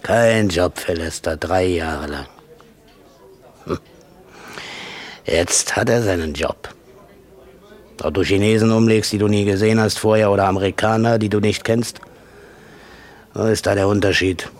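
An actor playing a sardonic, embittered hired killer in a mocking, brooding monologue, in a 1960s German radio play. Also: male voice, on the low side, unhurried, long pauses. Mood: bitter, sardonic.

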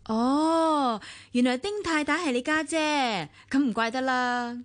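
An actress in a Cantonese radio drama playing a friendly, lively woman neighbour, with a Hong Kong accent and a light, welcoming manner. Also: high-pitched, unhurried, dry recording.

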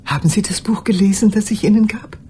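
An older woman acting in a radio drama monologue as a lonely old woman who speaks formally, haltingly and insistently. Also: medium pitch, mellow, slight background noise, natural voice. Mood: urgent, apologetic. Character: lonely old woman confiding memories to a young lawyer.

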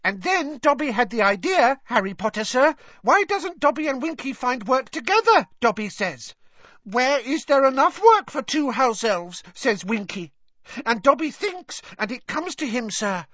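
An audiobook narrator voicing an excitable male house elf, squeaky and shrill, eager and servile, speaking of himself in the third person. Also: a little slow, no room sound, lo-fi sound, full character voice. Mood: delighted, excited. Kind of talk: audiobook character voice.

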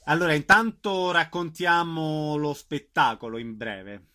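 Male radio interviewer, fairly high, bright, forward voice. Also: measured pace. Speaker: radio host interviewing theatre guests.

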